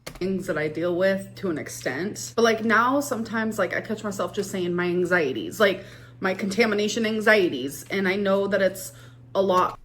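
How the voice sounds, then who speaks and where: A woman's voice: mid-range voice, moderate pace, a bit bright, a little hiss or hum. A woman vlogger who talks casually and gushingly as she answers fan questions. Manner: gushing, lots of "like" fillers. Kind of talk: casual vlog talk.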